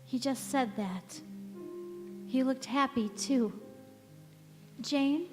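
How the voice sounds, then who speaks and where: A woman acts out a gentle elderly wife and mother in a radio play. She is wistful and serenely weary, speaking slowly in a high voice that echoes through a hall. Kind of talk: stage radio-play acting. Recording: echoey hall, music behind.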